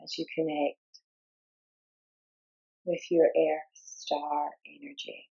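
A woman leading a guided meditation, giving gentle, soothing instructions in short, unhurried phrases with long pauses. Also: deep voice, monotone, vocal fry, slightly rough, highs cut off.

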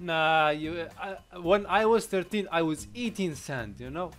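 Host muda beraksen Aljazair, suka menggoda, suara agak tinggi, lewat headset di kamar. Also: male voice, a little high, animated, normal speed, faint music behind. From Algeria. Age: young adult. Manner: teasing, mocking. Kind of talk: prank chat with strangers. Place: indoor home room. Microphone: gaming headset.

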